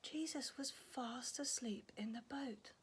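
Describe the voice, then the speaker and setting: A woman telling a story to young children in an animated, expressive way, prompting with questions and acting out sound effects. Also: fairly high pitch, a little slow, husky.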